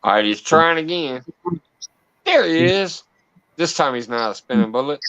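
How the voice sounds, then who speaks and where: A man's voice: on the high side, lively intonation, normal speed, a bit bright, clear, resonant tone, no room sound. A man hosting a casual livestream, laughing and coaxing guests to join the call. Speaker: livestream host. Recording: dull, lo-fi stream audio.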